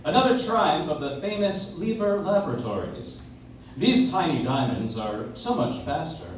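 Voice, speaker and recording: A man's voice: fairly high pitch, big pitch swings, normal speed. An actor playing a polished, enthusiastic 1940s radio announcer, delivering a live commercial in an emphatic salesman's manner. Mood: promotional. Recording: echoey distant audience recording, faint noise.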